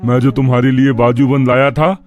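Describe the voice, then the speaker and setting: A man's voice: medium pitch, level tone, brisk, highs cut off, faint music behind, close to an everyday voice. A man playing a sly, sneering false friend. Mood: mocking, scornful. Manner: mocking, boastful, slippery. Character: sneering, scheming young male false friend. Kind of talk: Hindi animated moral story dialogue.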